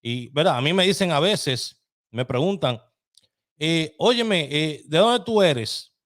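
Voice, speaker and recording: Un hombre dominicano de mediana edad que presenta en vivo un programa de opinión, hablando con pasión y en tono coloquial, directo a su público. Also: male voice, fairly high pitch, expressive, slow pace, a bit bright. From Dominican Republic. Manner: impassioned, colloquial, addresses listeners directly. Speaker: host. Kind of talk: live opinion commentary.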